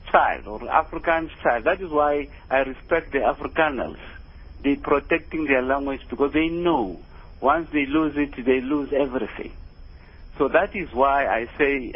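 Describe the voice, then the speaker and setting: A man's voice: mid-range voice, highs cut off. A South African man calling in to a radio show, giving his earnest opinion in an emphatic way, repeating words as he goes. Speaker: radio phone-in caller.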